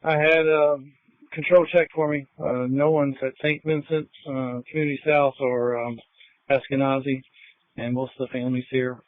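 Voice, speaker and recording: A man's voice: mid-range voice, level tone, moderate pace, dry recording, narrow, tinny audio. A male police officer talking off the cuff over scanner radio, with hesitant phrasing. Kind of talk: unscripted police radio talk.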